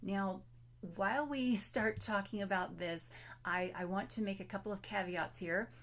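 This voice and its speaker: A woman's voice: medium pitch, normal speed. Weak-voiced woman author presenting. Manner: chatty, self-deprecating, with fillers. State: losing her voice, weak. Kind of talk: casual recorded presentation.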